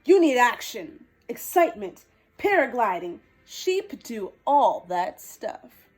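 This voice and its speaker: Storybook sheep, played by a woman: female, bold thrill-seeker, loud and emphatic, high voice. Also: big pitch swings, takes it slowly. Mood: excited, bold. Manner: shouting, emphatic.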